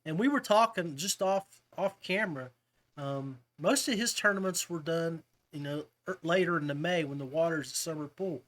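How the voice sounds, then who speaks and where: A man's voice: on the high side, a bit bright. A man hosting a casual fishing podcast, talking to guests in a chummy way, like old buddies. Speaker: podcast host.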